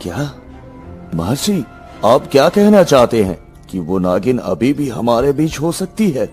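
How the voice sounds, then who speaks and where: A man's voice: medium pitch, very animated, unhurried, a little mellow, soft background music. A man voicing a king in a dubbed cartoon story, speaking in an urgent, pleading way. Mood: startled. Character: male king, anxious then grateful.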